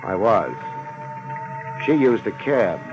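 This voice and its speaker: An actor playing a laconic, wisecracking private detective in a dry, clipped 1950s radio drama style. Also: male voice, on the low side, big pitch swings, slow, highs cut off, music in the background. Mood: deadpan, world-weary. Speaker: lead actor and narrator. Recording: noisy vintage 1950s radio broadcast.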